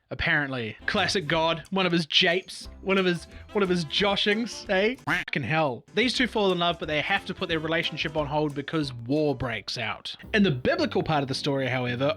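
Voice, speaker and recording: A man's voice: on the high side, bright, forceful tone. A man giving a sarcastic, profane comedic history commentary as a video host.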